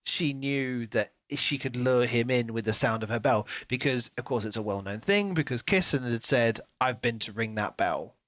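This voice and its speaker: A man co-hosting a podcast, talking through a book in a casual, animated retelling style. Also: medium pitch, moderate pace, few pauses, no echo. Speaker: podcast co-host.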